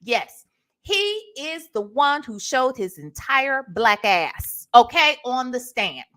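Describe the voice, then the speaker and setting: Sassy woman doing online gossip commentary, kinda high voice that swings all over, takes her time, lots of slang and cussing. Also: lively intonation, takes it slowly, pushed and bright. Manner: emphatic, punctuated with rhetorical check-ins. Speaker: online commentator hosting a reaction show.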